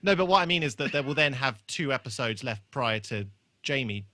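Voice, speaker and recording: A man's voice: mid-range voice, animated, fairly quick, pushed and bright, no echo. A man co-hosting a podcast in casual chat, talking in a jokey, rambling way. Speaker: podcast co-host.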